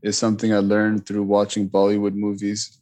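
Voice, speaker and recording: A man whose first language was Punjabi talks through his memories as an interview guest. His voice sits low and stays fairly flat, and he pauses, restarts and leans on fillers as he thinks aloud. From Richmond, Virginia, US. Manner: thoughtful, with frequent fillers and restarts. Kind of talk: reflective interview talk.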